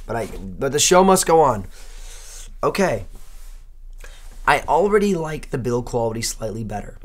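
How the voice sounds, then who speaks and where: A young man with a hoarse voice he says he has partly lost, chatting upbeat and thinking aloud as he unboxes and reviews a laptop. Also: mid-range voice. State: hoarse, losing his voice. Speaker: tech reviewer. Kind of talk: casual unboxing talk.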